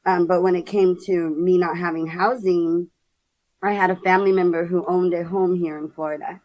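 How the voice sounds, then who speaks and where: A young woman from San Diego with a deep, raspy voice, talking candidly as a podcast guest and laughing often. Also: steady tone, normal speed, darkish tone, lo-fi sound. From San Diego, California. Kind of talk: casual interview chat.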